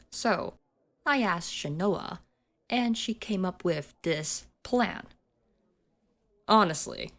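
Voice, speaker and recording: Slow, condescending schoolgirl bully, acted by a woman. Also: fairly low pitch. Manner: slow, condescending, teasing menace. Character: mocking, domineering delinquent schoolgirl bully. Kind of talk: ASMR roleplay acting.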